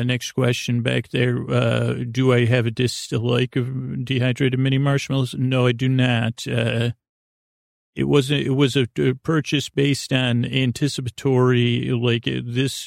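A man hosting a podcast with a soothing, creaky voice, rambling in a halting, silly, whimsical way as if for bedtime. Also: medium pitch, no echo, clean studio sound. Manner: meandering, halting, silly and goofy. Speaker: podcast host. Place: podcast studio.

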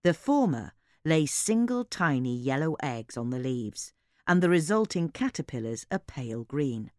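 A woman's voice: sits low, big pitch swings, normal speed, a little mellow, no echo, clean studio sound. A woman reading a scripted gardening-magazine style article as an informational narrator.

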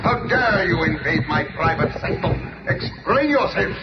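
An actor playing a proud, bitter undersea prince in a superhero radio drama, with pompous, theatrical delivery. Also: male voice, sits high, expressive, measured pace, loud music behind the voice. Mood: haughty defiance. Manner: pompous, theatrical, formal speech. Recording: muffled old radio recording, loud noise.

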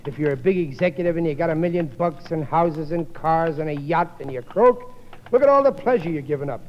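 Guy doing goofy blustery working class family man, old radio comedy, swingy pitch, dull noisy sound. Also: expressive, a little fast, big-room sound, natural voice. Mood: boastful, content. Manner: folksy, ungrammatical, blustering, stammering when cornered. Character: good-natured, bumbling working-class family man, a riveter. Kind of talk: 1950s radio comedy acting.

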